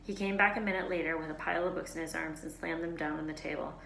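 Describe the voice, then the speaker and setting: Low-voiced woman reading a story, echoey room. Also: fairly even intonation, fast, creaky, fairly bright tone. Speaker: teacher reading aloud. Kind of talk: expressive storybook narration. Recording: echoey room, faint background noise.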